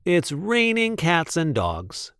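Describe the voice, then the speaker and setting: A man reading a picture book aloud expressively, with deliberate, playful emphasis on the sentences. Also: on the high side, wildly swooping pitch, a bit bright, clear, resonant tone, no echo, clean studio sound. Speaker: read-aloud storyteller.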